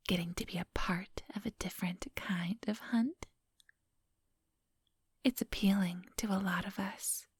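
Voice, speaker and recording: A woman playing a centuries-old vampire boss in an ASMR roleplay, whispery and breathy, speaking close to the microphone in a silky, patronizing, quietly menacing manner. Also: mid-range voice, dry recording, exaggerated voice. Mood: polite, businesslike. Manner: slow, unhurried, silky and patronizing.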